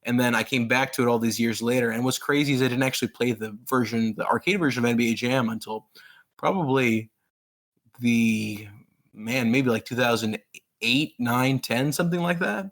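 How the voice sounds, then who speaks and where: A man's voice: medium pitch, normal speed, a bit bright. A man in his thirties who grew up in Pakistan, a guest author talking casually and enthusiastically in an interview, with many fillers and restarts.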